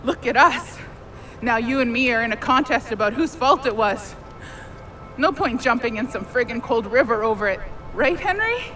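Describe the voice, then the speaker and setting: A woman's voice: fairly high pitch, expressive, moderate pace, a bit bright, faint music behind, poor audio. An actress in an audio drama playing a remorseful, caring young woman who talks earnestly and plainly, with a wry streak. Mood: gentle, sad.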